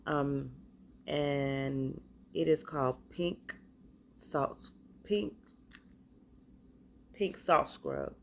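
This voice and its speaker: A woman with a stuffy cold, casually showing off the spiritual bath and body products she makes, talking in a halting, hesitant way. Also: extremely low pitch, slight background noise.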